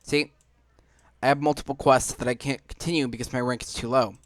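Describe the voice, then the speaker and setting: Man giving halting, casual gameplay commentary. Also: medium pitch, steady tone, no room sound. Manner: halting, informal explaining. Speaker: gaming video host. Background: faint game music.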